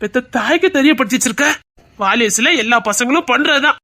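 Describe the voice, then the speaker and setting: A man's voice: very high voice, animated, a little fast, pushed and bright, heavily acted. An actor playing a stern, principled father who firmly scolds his daughter. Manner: firm, scolding.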